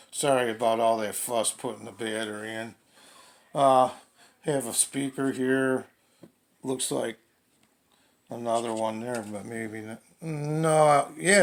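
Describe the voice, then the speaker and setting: A man giving casual, halting, low-key unboxing commentary, thinking aloud as a hobbyist reviewer. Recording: clearly noisy home video recording.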